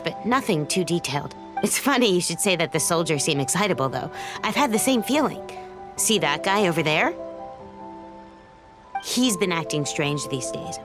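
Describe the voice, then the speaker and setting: A woman's voice: mid-range voice, close to an everyday voice. A woman voicing a wary, chatty, war-weary villager in an anime game, conversational and gossipy. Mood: curious. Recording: game music behind the voice.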